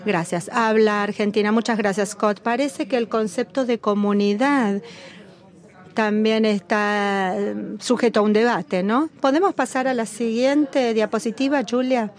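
A woman interpreting a formal meeting as it happens, in a measured, halting delivery that stops often to catch up with the speaker. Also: on the high side, fairly even intonation. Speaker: simultaneous interpreter at a policy meeting.

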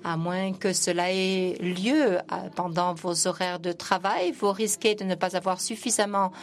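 Low-voiced woman interpreting a speech. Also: a little slow. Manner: steady flow with many fillers and restarts. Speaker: conference interpreter.

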